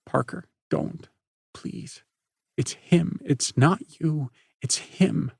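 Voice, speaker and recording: A man's voice: on the high side, drawn-out words, vocal fry, a little mellow, slightly rough, no echo, pitched above the performer's own voice, exaggerated voice. A man voicing an upset teenage girl who pleads and sputters, close to tears. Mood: pleading.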